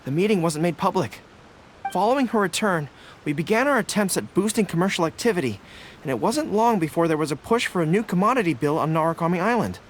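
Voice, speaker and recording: A woman's voice: fairly low pitch, lively intonation, quick pace, slight background noise, lightly acted. A woman voicing an earnest, polite young official in a video game, speaking a little hesitantly. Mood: earnest, explaining. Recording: loud music behind the voice.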